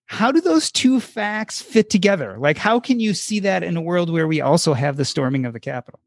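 A man's voice: high-pitched, expressive, a little fast, vocal fry. A man hosting a panel discussion, putting probing questions to his guests. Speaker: host. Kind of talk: moderating a panel.

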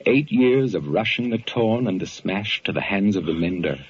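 An actor playing a solemn, saintly ambulance man in an old radio drama, speaking with grave, measured, preacherly oratory and fatherly wisdom. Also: lowish voice, expressive, lightly acted. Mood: exalted, grave. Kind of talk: 1940s radio drama acting. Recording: muffled old-time radio recording, faint music.

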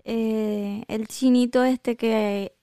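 A woman's voice: a little high, fairly even intonation, drawn-out words, warm tone, smooth, no echo. A woman co-hosting a casual podcast, mostly giving short agreeing replies and laughing easily. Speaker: podcast co-host.

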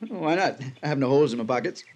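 An actor playing a carefree, flippant rich playboy in a classic radio drama, breezy, genteel and amused, with a mannered delivery. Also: male voice, medium pitch, lively intonation, fast, a little mellow, close to an everyday voice. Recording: old radio broadcast recording.

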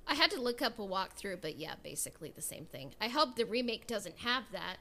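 Woman chatting on a podcast, playful and opinionated, normal pitch, a little background noise. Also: big pitch swings, fairly quick, sharp tone. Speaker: podcast co-host. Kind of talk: casual chat.

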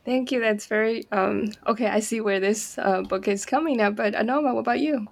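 A woman host guiding an academic book talk in a soft, halting way, with frequent ums. Her voice sits fairly high yet carries a gravelly fry. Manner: gentle, hesitant with fillers. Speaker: podcast host. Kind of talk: academic interview.

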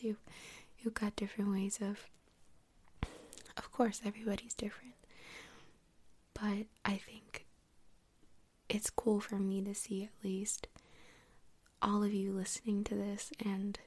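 A woman hosting soft-spoken ASMR in a whispery, breathy voice, rambling gently and unhurriedly close to the microphone. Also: medium pitch. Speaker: host of an ASMR podcast. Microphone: close USB microphone.

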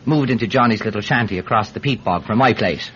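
An actor playing an eager Irish peat seller testifying in court in a classic radio drama, hesitant, stammering and forever digressing. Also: male voice, on the low side, fast, fairly bright tone, natural voice. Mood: storytelling. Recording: muffled, hissy vintage radio recording.

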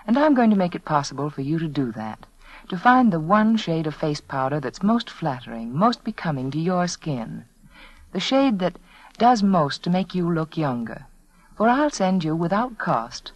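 A woman's voice: low-pitched, low-quality recording. An American woman reading a scripted 1940s radio advertisement, addressing the listener directly and confidingly. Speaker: sponsor spokeswoman. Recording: vintage 1940s radio broadcast.

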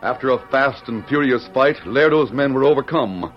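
Deep guy doing grave dramatic radio narration, old muffled sound, music under it. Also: moderate pace. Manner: grave, suspenseful, emphatic. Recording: muffled vintage radio recording.

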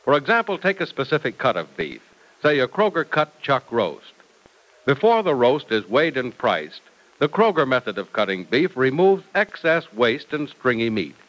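Casting: a male radio-era announcer, emphatic sales pitch, very lively intonation. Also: moderate pace, rough recording.

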